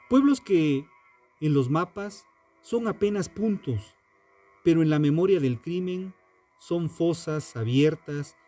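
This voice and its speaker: Male narrator for true crime: mellow, dark-toned, unhurried and grave, sententious scripted delivery over music. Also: fairly high pitch. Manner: solemn, deliberate, sententious. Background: background music.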